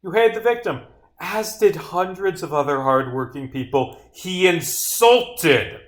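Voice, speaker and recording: A man's voice: on the high side, lively intonation, measured pace, a bit bright, reverberant, voice pushed up. A man voicing a haughty, defiant woman murder suspect in a visual novel, indignant and dismissive.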